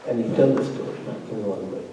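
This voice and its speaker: A man's voice: on the low side, a little fast, dark tone, a little hiss or hum. A man serving as faculty opponent at a thesis defense, talking through it discursively with many fillers and restarts, heard from a distance in a large lecture room. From immigrant living in Norway. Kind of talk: spoken academic commentary. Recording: distant, roomy lecture-hall sound.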